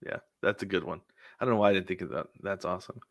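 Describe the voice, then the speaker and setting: A man's voice: sits low, steady tone, racing, no echo, clean studio sound. A man co-hosting a sports podcast, telling casual stories and acting out what people said. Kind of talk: casual baseball chat with anecdotes.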